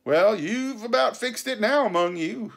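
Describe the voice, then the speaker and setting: A man reading a storybook, voicing a sly, scornful pirate captain who speechifies in rough nautical slang with sarcasm and bluster. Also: high voice, lively intonation, measured pace, pitched above the performer's own voice, heavily acted. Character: sly, scornful, commanding male pirate captain and sea cook.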